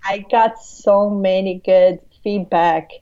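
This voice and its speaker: A Brazilian-accented woman, a native Portuguese speaker, talks as an interview guest. She sounds excited and often restarts her sentences. Her audio is slightly muffled. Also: medium pitch. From Latin America. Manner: warm, excited, halting restarts. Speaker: podcast guest. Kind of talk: conversational interview.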